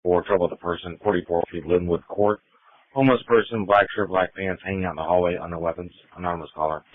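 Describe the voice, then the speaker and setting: Tinny police scanner, deep male voice rattling off dispatch stuff, clipped and businesslike. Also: steady tone, fast talker, no echo. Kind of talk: police radio traffic.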